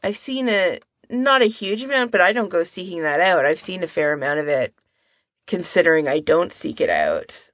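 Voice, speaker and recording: A woman's voice: on the low side, big pitch swings, normal speed, fairly bright tone, no echo, studio quality. A woman co-hosting a podcast, talking in a casual, conversational style. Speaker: podcast co-host. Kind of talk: casual discussion.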